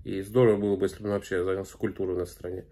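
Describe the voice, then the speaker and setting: Blogger, thirties, male, somewhat low voice, earnest talk to camera in a small room, halting delivery. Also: little pitch movement, warm tone. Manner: earnest, many filler sounds and restarts. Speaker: video blogger. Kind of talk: casual commentary to camera.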